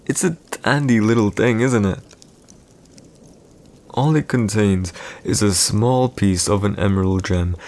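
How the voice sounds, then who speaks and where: A man's voice: on the low side, creaky. A man playing an arrogant, teasing villain emperor in an intimate audio roleplay, speaking in smug, theatrical, formal phrases. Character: arrogant villainous young male emperor, teasing and secretly wounded.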